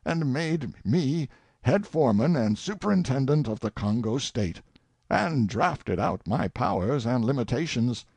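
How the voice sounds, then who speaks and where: An indignant, sanctimonious king as a male narrator plays him rants in self-pity. The voice is husky and swings widely in pitch with theatrical emphasis. It is an audiobook monologue, recorded very dry. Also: lively intonation, unhurried, a little mellow, no room sound. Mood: aggrieved. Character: indignant, self-pitying, sanctimonious old king ranting in defense.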